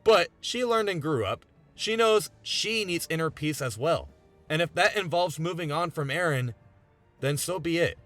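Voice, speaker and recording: A man in his twenties with a fairly high voice narrates a video essay. His delivery is earnest and very animated, leaning on emphasis. Music plays behind him. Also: moderate pace. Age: 20s. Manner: earnest, emphatic with rhetorical questions. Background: background music.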